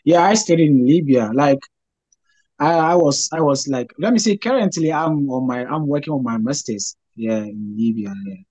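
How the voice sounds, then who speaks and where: A young man from Ghana with a Ghanaian accent, a graduate student answering interview questions over a video call, halting and full of fillers. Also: a little high, expressive, darkish tone, dry recording, rough recording. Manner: halting, repeats words, many "like" fillers.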